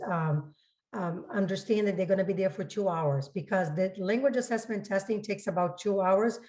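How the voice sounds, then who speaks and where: A woman's voice: medium pitch, darkish tone. Woman explaining things, repeating for clarity. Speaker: school registrar answering parents' questions. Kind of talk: informal spoken explanation in a public Q&A.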